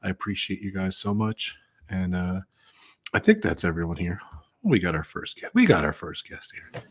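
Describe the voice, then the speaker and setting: Relaxed, husky-voiced male livestream host. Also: sits low, moderate pace. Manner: easygoing, rambling with fillers. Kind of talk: casual livestream chat.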